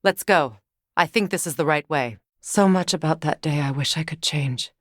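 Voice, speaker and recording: A low-voiced, solemn sorceress. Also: female voice, no room sound, clean studio sound. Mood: regretful. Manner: measured, solemn, formal phrasing. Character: grave, sorrowful woman of magic, exiled from home. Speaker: video game voice actor. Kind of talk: dramatic game character dialogue.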